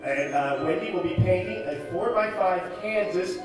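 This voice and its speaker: A man's voice: sits high, normal speed. A man hosting a live stage show, chatting to the audience in a folksy, jokey way with announcer flourishes. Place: community hall, live before an audience. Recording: echoey hall from far off, music and audience noise.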